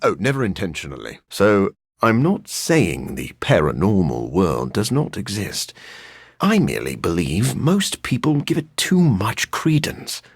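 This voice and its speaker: Brooding vampire scientist, male, husky, lively intonation, formal and dryly weary, video game antihero. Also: fairly low pitch, no room sound, heavily acted. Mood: composed, wry. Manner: formal, measured, dry, articulate.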